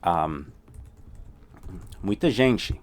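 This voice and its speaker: A man's voice: sits low, animated, extremely slow, slight background noise. A man teaching a recorded language lesson, explaining things step by step and often checking in with "right?".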